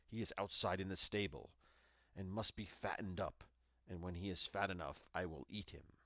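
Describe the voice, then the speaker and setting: A man's voice: sits low, normal speed, a bit bright, dry recording, studio quality. A man voicing a wicked old witch, cunning and greedy, who scolds and commands in a storybook reading. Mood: commanding.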